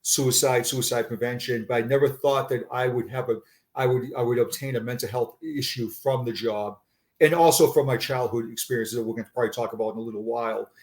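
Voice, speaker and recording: A man's voice: mid-range voice, fairly even intonation, a little fast. An older man with a Boston accent, a retired police sergeant talking as a guest in an unscripted interview, earnest and often repeating and restarting his words. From Boston area, USA.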